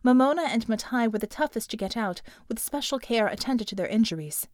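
Female audiobook narrator, fairly high voice, dramatic scripted storytelling, very dry studio-like sound. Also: animated, fairly quick, darkish tone, no room sound.

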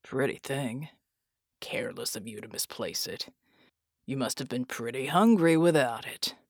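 A woman voicing a world-weary male mercenary who talks bluntly in a low, gravelly drawl. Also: moderate pace, darkish tone, no echo, clean studio sound. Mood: gruff.

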